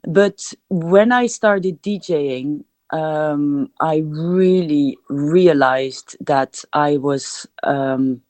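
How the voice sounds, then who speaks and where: A woman's voice: low-pitched, extremely slow, hardly any pauses, a little mellow, dry recording. A young adult woman from Belgium, a DJ, talking reflectively in a podcast interview with many restarts. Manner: reflective, many restarts, speaks of feeling and energy. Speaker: DJ guest.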